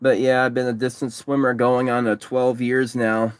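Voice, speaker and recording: A man's voice: mid-range voice, level tone, moderate pace, clear, resonant tone, no room sound. Rambling, worn-out man. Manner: rambling, repeats himself, frequent restarts. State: exhausted, sunburned. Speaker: livestreamer, distance swimmer. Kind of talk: casual livestream chat reading comments. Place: parked car.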